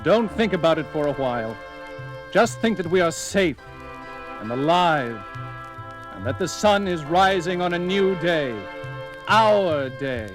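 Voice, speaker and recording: A man's voice: a little high, lively intonation, measured pace, pauses often, over music. An actor playing an anguished, earnest male scientist in a melodramatic 1970s horror audio drama. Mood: tender, resolute. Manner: melodramatic, exclaiming.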